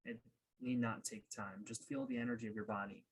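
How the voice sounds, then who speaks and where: A man leading a guided meditation as a coach, speaking in a calm, unhurried way with pauses. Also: mid-range voice, slight background noise, poor audio.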